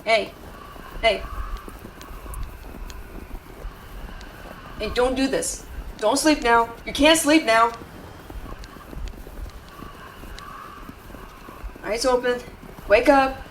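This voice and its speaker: A woman's voice: a little high, a little slow, recorded from a distance, a little hiss or hum, natural voice, rough recording. A woman playing a brash but caring tsundere young woman in an intimate audio roleplay, scolding with tender worry underneath. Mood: worried, pleading. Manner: brusque, scolding, exasperated, with tender worried undertones. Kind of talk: intimate dramatic audio roleplay addressed to the listener.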